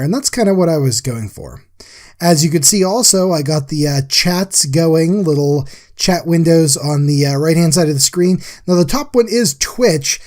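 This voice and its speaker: Chatty man, slightly higher-pitched voice. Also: a little mellow. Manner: chatty, informal, addresses viewers as guys. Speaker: artist and livestream host. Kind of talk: casual drawing recap voice-over.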